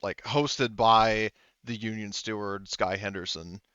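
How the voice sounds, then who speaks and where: A man's voice: fairly low pitch, fairly even intonation, measured pace, pushed and bright, clear, resonant tone, dry recording, muffled recording. A man running a tabletop roleplaying game, loosely narrating and relaying what characters say in an improvised way. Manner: loose, relays characters' speech in third person. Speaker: game master.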